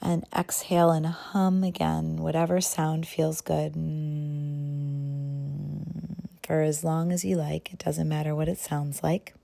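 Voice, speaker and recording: A woman leading a guided meditation as host, speaking gently and unhurriedly in short phrases with pauses between them. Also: low voice, vocal fry. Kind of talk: guided meditation, slow soothing narration.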